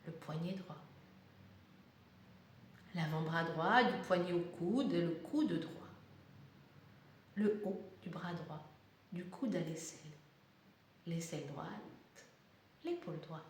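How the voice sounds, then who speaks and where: Slow woman guiding meditation, very echoey room. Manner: calm, deliberate, short phrases with pauses. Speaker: yoga nidra guide. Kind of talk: guided meditation, slow soothing instruction. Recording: very echoey room, faint rumbling noise.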